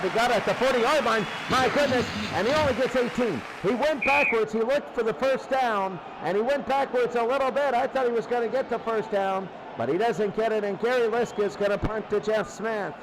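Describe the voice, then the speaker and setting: High-voiced man calling football over noise. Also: moderate pace, few pauses. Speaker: play-by-play sports commentator. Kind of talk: American football radio-style play-by-play commentary.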